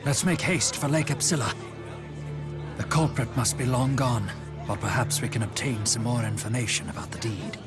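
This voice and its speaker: An actor playing a courteous, measured elderly gentleman advisor with formal, polite diction in a video game. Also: mid-range voice, music in the background, crowd noise, close to an everyday voice. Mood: grave.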